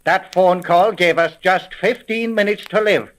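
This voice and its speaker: Casting: a wary, quick-thinking American police sergeant for 1930s radio mystery, higher-pitched male voice, clipped orders and street slang. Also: a bit bright. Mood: pressing, staged. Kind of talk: 1930s radio detective drama acting.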